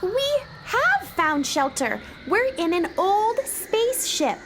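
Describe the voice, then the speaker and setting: Woman voicing a very high, eager little space girl. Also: very animated, slow pace, very noisy, heavily acted. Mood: earnest. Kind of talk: children's audio drama acting.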